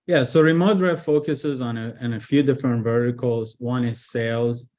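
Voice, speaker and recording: A man originally from Iran, speaking as a business guest in a casual interview, relaxed with frequent fillers and restarts. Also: mid-range voice, moderate pace. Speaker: guest, IT executive director.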